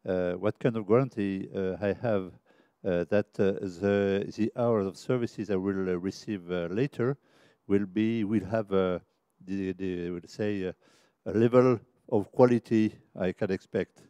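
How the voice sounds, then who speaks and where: A man in a conference audience asking a long question, hesitant with many pauses and restarts. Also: lowish voice, steady tone, mellow, smooth, no room sound.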